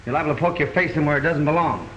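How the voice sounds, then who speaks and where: Old film lead: a man as a wisecracking young detective, high and bright, brisk banter, faint hiss. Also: dull audio, natural voice. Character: wisecracking young male detective, eager groom. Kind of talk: 1930s film dialogue.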